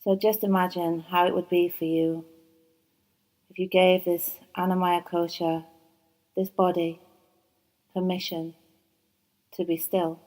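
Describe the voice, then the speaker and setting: A woman teaching yoga nidra, guiding a relaxation meditation in a soft, soothing voice, slow and unhurried with long pauses. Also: on the low side, little pitch movement, poor audio. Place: yoga training course.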